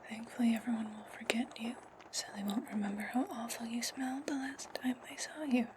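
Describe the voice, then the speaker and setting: A woman voicing a cold, commanding witch in an ASMR roleplay, speaking slowly in a breathy, threatening whisper. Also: fairly high pitch, fairly even intonation, background noise, close to an everyday voice. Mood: cold, disdainful. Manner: slow, commanding, coldly threatening. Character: menacing, domineering witch commanding an indebted servant.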